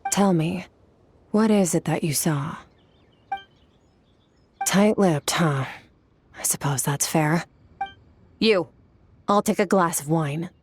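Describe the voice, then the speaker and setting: An actress playing a cool, detached female swordmaster in a game, speaking in terse, measured lines. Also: fairly low pitch, slight background noise. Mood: aloof. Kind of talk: game dialogue.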